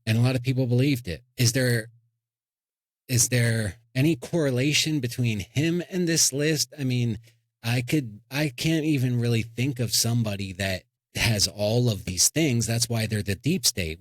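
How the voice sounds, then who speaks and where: A man's voice: mid-range voice, moderate pace, dry recording. A man hosting a comedy podcast, joking and rambling with restarts. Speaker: podcast host. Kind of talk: casual comedic chat.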